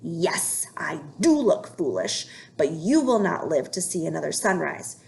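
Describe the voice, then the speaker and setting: Big grumpy bear, growling, low and gravelly, as a woman acts him. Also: sing-song, a little slow, darkish tone, heavily acted, poor audio. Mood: menacing.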